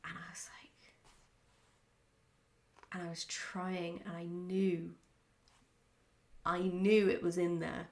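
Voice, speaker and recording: A woman chatting casually in a question-and-answer vlog, speaking in a candid, reflective and somewhat halting way. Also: lowish voice, little pitch movement, a little mellow. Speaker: writer answering follower questions.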